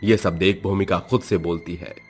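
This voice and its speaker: A man's voice: low-pitched, steady tone, normal speed, a little mellow, breathy edge. A man narrating a scripted horror moral story as a storyteller. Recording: music behind the voice.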